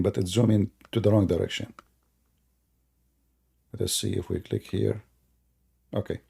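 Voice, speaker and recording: Mellow-voiced man telling a mocking story. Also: fairly low pitch, vocal fry, slightly rough. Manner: mocking, repeats phrases for emphasis. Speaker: online commentator and host. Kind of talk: informal mocking storytelling commentary to viewers.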